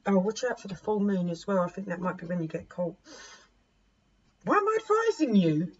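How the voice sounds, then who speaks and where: A hoarse woman in her forties giving a casual tarot reading to camera, chatty and laughing at herself as she repeats and restarts. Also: medium pitch, sing-song, fairly quick. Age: 40s. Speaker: tarot reader. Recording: dull lo-fi recording with faint noise.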